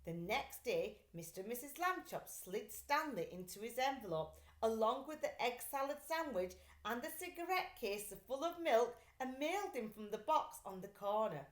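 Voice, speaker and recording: A woman reads a children's story in a fairly high, very animated voice. Her phrasing is deliberate and expressive, like a teacher's. The room she records in is echoey. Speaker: teacher reading aloud.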